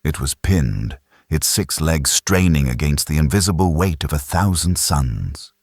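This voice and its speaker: A man's voice: extremely low pitch, expressive, unhurried, no room sound, clean studio sound. A man narrating a science fiction audiobook in a dramatic, scripted style.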